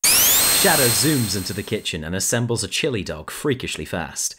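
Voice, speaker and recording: A man's voice: lowish voice, sing-song, normal speed, very noisy. A man narrating a fan audio drama in a plain, matter-of-fact way. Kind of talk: scripted narration.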